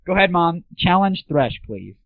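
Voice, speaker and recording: A young man with a fairly high-pitched voice hosts a casual gaming show. His interviewing is informal and conversational. The sound is thin and phone-like, with very little room echo, like 1990s internet audio. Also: big pitch swings, moderate pace.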